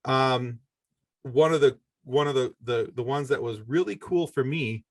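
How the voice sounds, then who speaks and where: A man's voice: mid-range voice, unhurried, no echo, clean studio sound. A middle-aged American man from Michigan, a podcaster, giving earnest, rambling conversational answers as an interview guest. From Michigan, United States.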